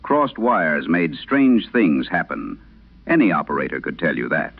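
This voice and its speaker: A man voicing an eighty-one-year-old former telephone operator recounting her past in a measured, grave, literary way. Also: extremely low pitch, sing-song, exaggerated voice. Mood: uneasy, hushed. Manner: measured, grave, literary first-person storytelling. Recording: muffled band-limited old radio sound.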